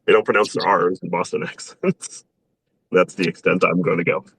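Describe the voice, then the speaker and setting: A young man chatting casually as an interview guest, bashful and quick to laugh at himself. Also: low voice, fairly even intonation, vocal fry, fairly bright tone, slightly rough, no echo. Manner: laughs often, bashful and self-deprecating.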